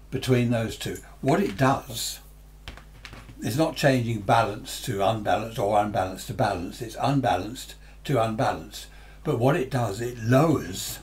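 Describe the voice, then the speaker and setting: A man's voice: mid-range voice, a little mellow, slight background noise. Older Englishman explaining things informally. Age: 60s or 70s. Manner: conversational, explanatory, repeats and rephrases himself. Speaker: amateur radio enthusiast presenting a tutorial. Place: small cluttered home radio workshop, indoors.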